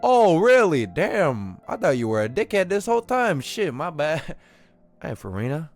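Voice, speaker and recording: A young man doing casual, slangy, expressive live commentary as he streams a game. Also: on the high side, normal speed, soft background music.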